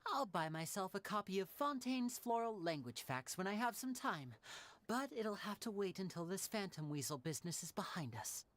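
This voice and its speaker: A man voicing a charming, playful young stage magician in a game, smooth and theatrical. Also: sits high, big pitch swings, moderate pace, fairly bright tone. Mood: suave. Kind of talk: game cutscene voice acting.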